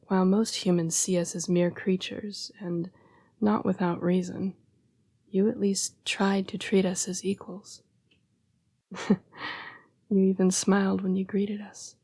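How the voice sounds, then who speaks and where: A young female vampire, acted by a woman, speaks in a soft, breathy half-whisper with little rise or fall. She is gentle, earnest and apologetic, halting as she fights her bloodlust. The delivery is an intimate, dramatic audio roleplay monologue. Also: on the low side.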